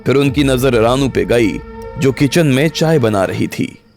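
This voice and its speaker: A man's voice: mid-range voice, animated, a little slow, gravelly, a little mellow. A man narrating a scripted audio story in the role of storyteller. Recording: background music under the voice.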